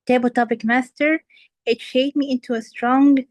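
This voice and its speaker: A woman's voice: high voice, steady tone, normal speed, dry recording. A young woman from Kuwait giving an earnest impromptu speech that addresses the audience directly. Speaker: impromptu speaker. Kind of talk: impromptu table topics speech.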